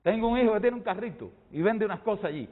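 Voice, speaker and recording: A middle-aged man with a Cuban accent testifies in a heated panel debate. His voice is notably high and he repeats phrases for emphasis. The sound is dull and band-limited. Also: animated, quick pace. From Cuba, rural. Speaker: debate guest, doctor.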